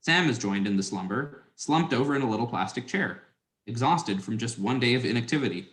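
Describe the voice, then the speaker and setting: A man's voice: mid-range voice, lively intonation, fairly quick, fairly bright tone. A young adult man reading scripted stage directions as a narrator. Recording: echoey, reverberant room.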